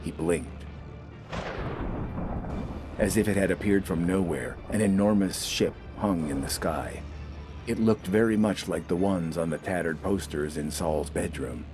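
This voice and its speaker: A man's voice: low-pitched, unhurried, over music. A man narrating scripted fiction in a dramatic storytelling style.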